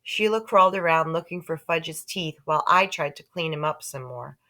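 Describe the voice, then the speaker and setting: A woman narrating a children's book aloud in an expressive storytelling style. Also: low voice, normal speed. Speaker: storybook reader.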